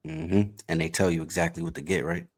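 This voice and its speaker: A man interviewing a guest on a podcast, answering in a relaxed way with short agreements and questions. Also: deep voice, brisk, creaky, breathy edge. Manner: laid-back, short agreeing replies and questions. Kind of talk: casual podcast conversation.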